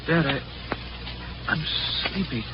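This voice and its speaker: The obedient son in an old radio play, with a lightish, higher male voice. He sounds mild and earnest. The 1940s recording is dull, with clear noise. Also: animated, slow. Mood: drowsy, confused. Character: scientist's trusting, obedient grown son. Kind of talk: 1940s radio drama acting.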